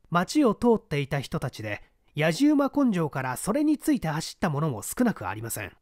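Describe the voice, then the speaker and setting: A young man reading a mystery story as scripted literary narration, with deliberate, measured phrasing. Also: high-pitched, a little mellow, no echo, clean studio sound. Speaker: audiobook reader.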